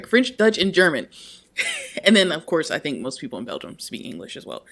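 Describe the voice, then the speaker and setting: A woman co-hosting a casual podcast, reading a forum post aloud with frequent laughs and chatty asides. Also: lowish voice. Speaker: podcast co-host.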